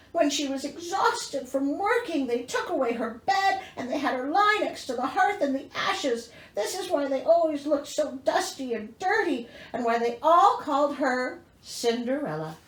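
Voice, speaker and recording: A woman reading a storybook aloud as host, with theatrical delivery and sarcastic, incredulous comic asides. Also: high-pitched. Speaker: host reading a fairy tale with commentary. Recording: echoey, roomy sound.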